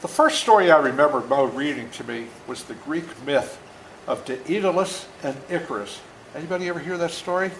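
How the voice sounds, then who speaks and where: A man in his seventies with a slightly high-pitched voice tells stories to children. He is plain-spoken and deliberate, like an author reading aloud to a young group. The room is echoey, with faint noise behind him. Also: animated, fairly bright tone. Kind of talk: storytelling talk and read-aloud to children.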